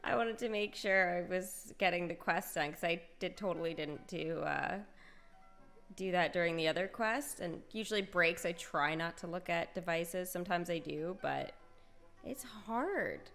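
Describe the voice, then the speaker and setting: A woman's voice: fairly low pitch, normal speed, gravelly. A woman streaming a video game with casual, chatty, giggly commentary. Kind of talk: casual playthrough commentary and reading along. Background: soft game music.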